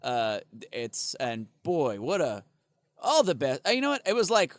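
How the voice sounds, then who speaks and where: A man's voice: a little high, huge pitch swings, unhurried, dry recording. A man co-hosting a comedy podcast with casual banter and crude, irreverent jokes. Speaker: podcast co-host.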